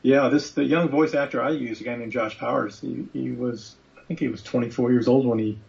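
A man's voice: medium pitch, steady tone, a little fast, vocal fry, a little mellow, highs cut off. A man speaking casually and conversationally as the guest in an interview.

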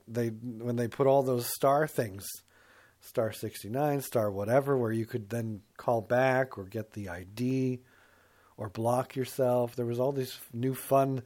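A man's voice: medium pitch, unhurried, darkish tone. A middle-aged man with a mid-range voice co-hosts a casual, comic talk show. He rambles in a self-mocking way and acts out his own quotes as he goes.

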